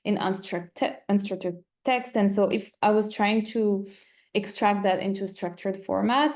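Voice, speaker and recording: A woman's voice: mid-range voice, normal speed, darkish tone. A woman presenting a technical webinar demo, explaining things step by step in a conversational way. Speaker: presenter giving a product demo.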